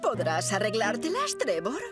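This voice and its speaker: A woman's voice: mid-range voice, wildly swooping pitch, moderate pace. A woman with a Castilian Spanish accent voicing a theatrical, bossy mother in a children's cartoon. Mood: theatrical, coaxing. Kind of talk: animated children's cartoon dub. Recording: loud music behind dialogue.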